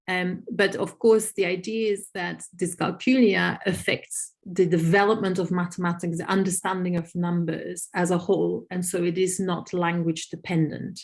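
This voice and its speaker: A woman whose first tongue is Dutch talks through a video call, her voice sitting a little low. She explains patiently, listing examples and stopping to gather her thoughts with soft fillers. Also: level tone. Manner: thoughtful, many "um" pauses, lists examples. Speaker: researcher answering audience questions. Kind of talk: webinar Q&A, explaining.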